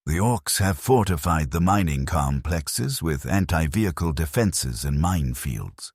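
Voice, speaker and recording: A man's voice: a bass voice, lively intonation, unhurried, darkish tone, breathy edge, dry recording, studio quality, heavily acted. A man narrating a story as a callous military commander, briefing troops in a clipped, commanding, unfeeling manner. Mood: cold, commanding.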